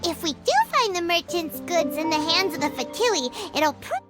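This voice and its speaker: A woman voicing a tiny, chirpy, inquisitive fairy companion with a squeaky childlike voice in a video game. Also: lively intonation, normal speed. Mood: determined. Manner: refers to self in third person. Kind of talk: video game dialogue, cartoonish. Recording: loud music behind the voice.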